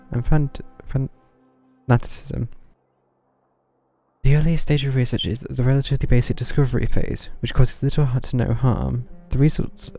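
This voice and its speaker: Gravelly, mellow man streaming a game. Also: mid-range voice. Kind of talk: casual live gameplay commentary, reading game text aloud. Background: game music.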